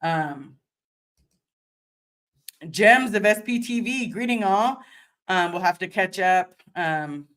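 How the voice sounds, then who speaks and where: Hoarse, deep-voiced woman chatting. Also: lively intonation, takes it slowly, long pauses, fairly bright tone. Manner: rambling, repeats words and restarts often. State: slightly hoarse. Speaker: livestream host reading viewer chat. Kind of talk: casual live chat.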